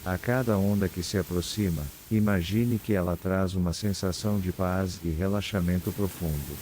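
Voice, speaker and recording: Deep, mellow Brazilian man guiding meditation. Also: a little hiss or hum. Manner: calm, soothing, unhurried with long pauses. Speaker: meditation guide. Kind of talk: scripted guided meditation. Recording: clear background ambience of ocean waves. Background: sea waves ambience.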